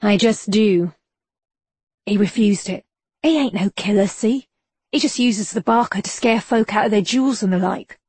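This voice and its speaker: A woman's voice: medium pitch, normal speed, darkish tone, no room sound, lightly acted. A woman voicing Billy the Bolter, a shifty male Victorian street informant with a slangy voice, in an audiobook. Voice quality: rough slangy street talk. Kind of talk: audiobook character voice.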